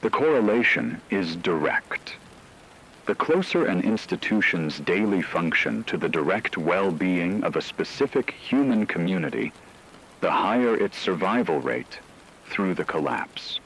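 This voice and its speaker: A man playing a grave radio announcer, reading scripted news in a measured, formal way. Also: low voice, expressive, a bit bright, slight background noise, full character voice, poor audio. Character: grave male radio announcer reporting on global collapse.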